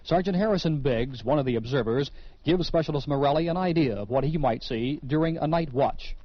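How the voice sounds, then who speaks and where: High-pitched male radio narrator, dull old recording. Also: brisk, no room sound. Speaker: radio program host.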